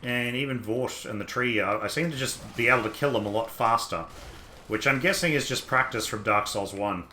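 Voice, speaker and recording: A man's voice: mid-range voice, moderate pace, bright, forceful tone, echoey room, a little hiss or hum. A young Australian man with an Australian accent, rambling easily through a game stream while chatting with viewers. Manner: rambling, easygoing, talks to himself about the game. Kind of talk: casual gameplay commentary chatting with live viewers.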